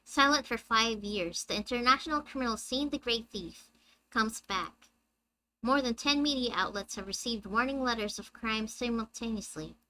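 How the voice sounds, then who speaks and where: A young woman with a high-pitched, squeaky voice, chatting with her viewers on a live game stream and reading the story text aloud. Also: normal speed, pushed and bright, slight background noise, low-quality recording. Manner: chatty, reads dialogue aloud and answers chat.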